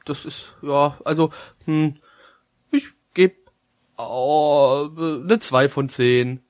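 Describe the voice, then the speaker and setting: A man hosting a casual anime review chat, talking in a halting, joking, self-mocking way. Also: fairly high pitch, steady tone. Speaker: host of an anime review show.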